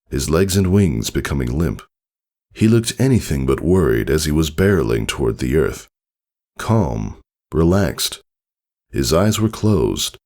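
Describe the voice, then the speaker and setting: A man reading a story as a dramatic narrator, in deliberate phrases with weighted pauses. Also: rumbling low. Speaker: fan fiction reader, narrator.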